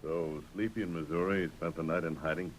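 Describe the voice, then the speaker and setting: An actor playing a resolute masked cowboy hero with measured, formal, authoritative diction in a 1930s radio western. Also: male voice, steady tone, close to an everyday voice. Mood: calm, planning. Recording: noisy, rumbly 1930s radio recording.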